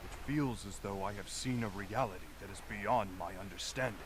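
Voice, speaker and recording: A grave, troubled video game character acted by a man. His voice is on the low side, and noticeable ambient noise surrounds it. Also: a little fast, natural voice. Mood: troubled, solemn.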